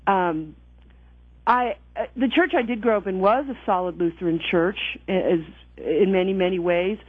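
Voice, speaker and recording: A woman calling in to talk through her own story at an ordinary pitch. She is earnest and reflective, often stopping to rephrase herself. The line is thin and phone-like, with a dry, close sound. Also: normal speed, no room sound. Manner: earnest, reflective, self-correcting. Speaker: guest. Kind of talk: personal testimony in conversation.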